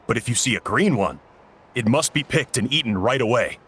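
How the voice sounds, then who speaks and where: An actor playing a genial village cook and uncle in a video game, explaining things a little theatrically. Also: male voice, mid-range voice, animated, a little fast, fairly bright tone, a little hiss or hum, natural voice. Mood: cautionary. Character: genial adult male village cook, uncle, slightly dramatic. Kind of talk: English video game voice acting.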